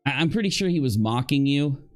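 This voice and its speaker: A man giving casual live reaction commentary, halting as he thinks aloud and posing rhetorical questions to his viewers. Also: medium pitch, lively intonation, soft background music. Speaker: livestream commentator.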